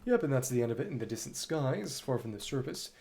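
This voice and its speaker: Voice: medium pitch, very animated, fast talker, mellow, soft background music. A video game streamer of unclear sex commentating live on play, muttering asides and reading game text aloud. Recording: clear background noise, clicks.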